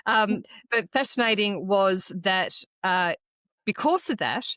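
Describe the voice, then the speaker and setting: A woman whose was Croatian, co-hosting a podcast and telling a personal story in an earnest, reflective way with halting restarts. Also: medium pitch, lively intonation, fairly bright tone, no room sound. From Australia. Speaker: podcast co-host. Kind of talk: casual conversational podcast chat.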